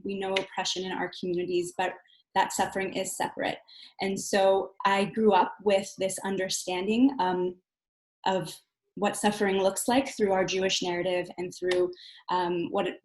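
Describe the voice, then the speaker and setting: Roomy-sounding woman, reflective and earnest. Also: medium pitch. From Southern California, United States. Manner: reflective, earnest, halting with self-corrections. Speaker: panel guest, community coordinator. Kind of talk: personal account in a panel discussion.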